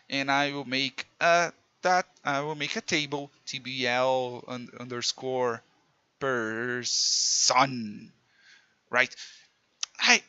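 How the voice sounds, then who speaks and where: A man's voice: mid-range voice, bright, forceful tone, no room sound, highs cut off. A man with a Portuguese accent teaching a casual programming lesson, chatty and self-mocking, with slips in his English. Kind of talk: casual screen-recorded coding lesson.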